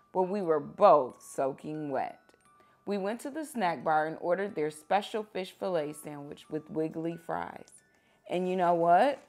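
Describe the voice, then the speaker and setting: A woman with a slightly low voice reads a children's story aloud. Her delivery is expressive and unhurried, with animated phrasing aimed at young listeners. Music plays behind her voice. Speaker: teacher reading children's books aloud.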